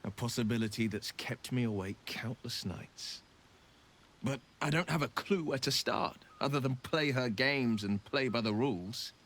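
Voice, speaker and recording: A man's voice: medium pitch, normal speed, fairly bright tone, slight background noise, natural voice. A man playing a horned, pact-bound warlock hero in a video game, speaking with solemn, eloquent, theatrical earnestness. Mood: resolute. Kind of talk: dramatic video game acting.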